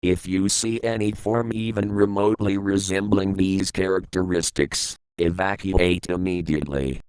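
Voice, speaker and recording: A man playing a solemn government emergency broadcast announcer in an analog horror piece, formal and measured. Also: sits low, fairly even intonation, darkish tone, clean tone, no room sound, natural voice, rough recording. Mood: ominous warning.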